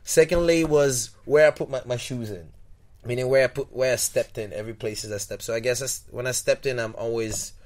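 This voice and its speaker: A man's voice: mid-range voice, fairly even intonation, a little fast, darkish tone. A young man from Togo, a podcast guest, talking in a rambling, reflective way with many restarts and repeated words. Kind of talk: casual interview.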